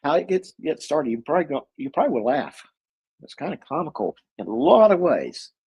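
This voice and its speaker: A man with a husky voice, co-hosting a casual chat about movies, rambling with lots of fillers and restarts. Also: fairly high pitch, sing-song, brisk, lo-fi sound. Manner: rambling, many fillers and restarts, chuckles often. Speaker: co-host.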